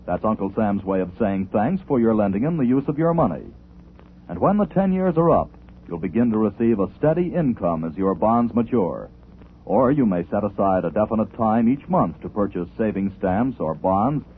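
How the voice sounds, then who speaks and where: A man reading a scripted public service pitch as a 1940s radio announcer. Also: narrow, tinny audio. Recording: thin, noisy 1940s radio recording.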